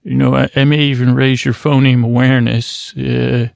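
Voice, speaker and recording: A man hosting a bedtime podcast who rambles in a meandering, halting way, stretching out some words slowly to lull listeners to sleep. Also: mid-range voice, level tone, gravelly, darkish tone, no room sound. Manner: meandering, halting, drifting into slow drawn-out words. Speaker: podcast host.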